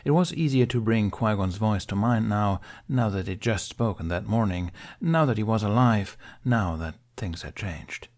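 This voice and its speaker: A man's voice: on the low side, moderate pace, hardly any pauses, darkish tone. A man reading a scripted story as an audiobook narrator.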